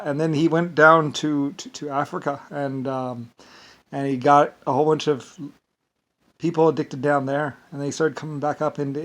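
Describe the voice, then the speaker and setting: American guy rambling on, fakey irish lilt, kinda high voice, keeps saying basically. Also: fairly bright tone. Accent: Irish-sounding lilt. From United States. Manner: rambling, meandering, leans on "basically". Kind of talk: casual monologue.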